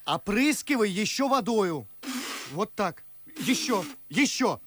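A man's voice: sits high, sing-song, slow, a bit bright, no echo, full character voice. An actor playing a fervent, sarcastic young nobleman, declaiming indignant verse in a classic radio drama. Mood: urgent.

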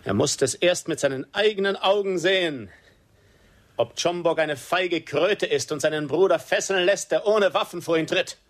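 An actor playing a proud, defiant captive Apache chief in a radio drama, solemn and declamatory. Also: male voice, high voice, moderate pace, lightly acted.

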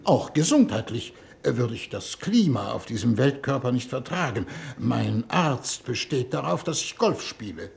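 An actor playing a self-justifying, sentimental foreign minister in formal, hesitant radio play dialogue. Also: male voice, medium pitch, big pitch swings, a little slow, vocal fry, few pauses, a little mellow. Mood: apologetic, self-justifying. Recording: noisy 1960s radio drama recording.

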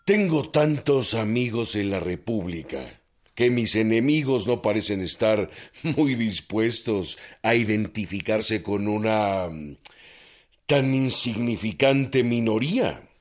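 An actor playing an elderly Mexican president in a historical radio drama, grave, pompous and self-assured, with a husky voice and a Mexican accent. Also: male voice, on the low side, lively intonation. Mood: smug, measured. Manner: deliberate, stately, pompous.

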